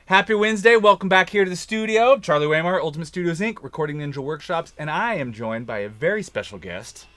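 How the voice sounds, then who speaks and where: Bright, upbeat man hosting a studio show. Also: on the high side, quick pace, hardly any pauses, dry recording. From Kansas. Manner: upbeat, joking, energetic. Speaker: host. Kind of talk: casual studio chat. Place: recording studio.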